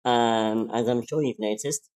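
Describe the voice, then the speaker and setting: A man with a deep, mellow voice hosts a casual online reading session from a computer microphone. He talks in a halting, self-deprecating way, full of ums and little restarts. His pitch sits at an ordinary middle level. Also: fairly even intonation. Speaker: stand-in host of online table reads. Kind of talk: casual spoken hosting.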